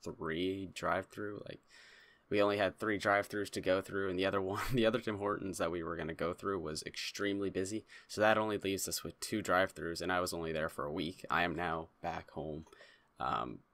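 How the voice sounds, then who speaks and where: A young adult man with a low voice talks to his viewers in a loose, rambling vlog style. He sounds casual and chuckly, as if chatting from his room at home. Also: fairly even intonation, brisk, fairly bright tone. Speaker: YouTube host. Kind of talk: casual vlog talk to camera. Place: small home room indoors.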